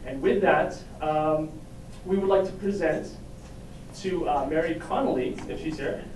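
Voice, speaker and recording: A male organizer giving a halting town hall speech full of restarts. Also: fairly high pitch, heavy background noise. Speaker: volunteer organizer. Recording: echoey hall recorded from far off.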